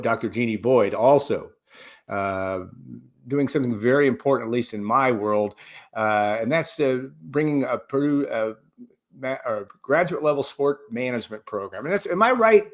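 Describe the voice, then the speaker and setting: A man's voice: mid-range voice, animated, normal speed. Rambling male sports show host. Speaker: show host interviewing guests. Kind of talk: conversational sports show interview.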